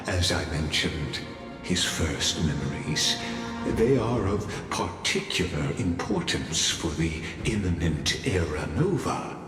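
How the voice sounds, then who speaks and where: A very slow, deep, portentous showman. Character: grand, cryptic theatrical narrator guiding a spectator. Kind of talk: video game voice acting. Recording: echoey hall reverb, music behind.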